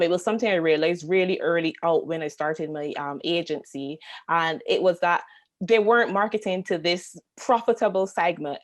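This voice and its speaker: A Barbadian woman with a slightly low voice, talking earnestly on a panel video call. She speaks in a loose, conversational way, using plenty of fillers. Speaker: panelist, travel marketing agency founder. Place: home, UK.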